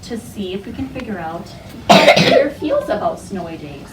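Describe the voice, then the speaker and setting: A woman's voice: medium pitch, big pitch swings, moderate pace. A woman teacher leading an interactive read-aloud for young children, instructing, asking questions and repeating their answers back. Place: classroom with children. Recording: roomy, distant mic, loud classroom noise.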